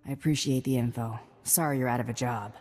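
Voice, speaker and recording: A woman's voice: very deep voice, fairly even intonation, fast, a little mellow, husky, long reverb tail. A woman playing a tough, dryly confident bounty hunter in a video game, speaking in a terse, businesslike way. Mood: cool, dry.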